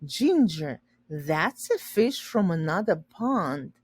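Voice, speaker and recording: A woman's voice: on the low side, big pitch swings, slow pace, breathy edge, no echo, exaggerated voice. Woman playing a dramatic storybook father alchemist. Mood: exultant. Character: exasperated father alchemist, grand and excitable.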